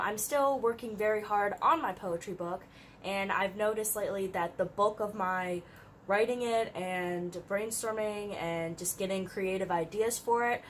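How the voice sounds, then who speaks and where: A woman's voice: mid-range voice, a bit bright. Young woman vlogger, stuffy and congested, loose chatty delivery with lots of "like", mid-range voice, faint background hiss. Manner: chatty, uses "like" often, light laughs. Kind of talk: casual chat. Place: her room.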